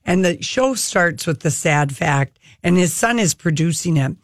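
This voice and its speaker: A woman's voice: on the low side, animated, measured pace, no room sound. Enthusiastic woman in dry, close sound. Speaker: talk show host. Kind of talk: casual chat about television shows.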